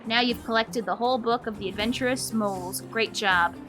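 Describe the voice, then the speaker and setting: A woman's voice: a little high, a little fast, over music, close to an everyday voice. A woman voicing an eager young child from a video game, chirpy and pleading. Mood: eager, cheerful. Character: eager young child who loves storybooks.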